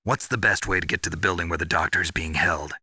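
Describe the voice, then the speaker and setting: Gruff, very deep veteran soldier. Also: male voice, fast, no room sound, studio quality, heavily acted. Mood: grim, businesslike. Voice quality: gravelly, husky. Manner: terse, deadpan, hard-bitten. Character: gruff, world-weary veteran male special forces soldier. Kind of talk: dramatic video game voice acting.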